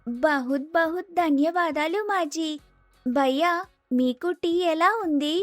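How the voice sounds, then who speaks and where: A woman's voice: high-pitched, slow pace, dry recording, soft background music. A woman playing a sweetly meddling young North Indian daughter-in-law who mixes in Hindi words. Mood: sweet probing.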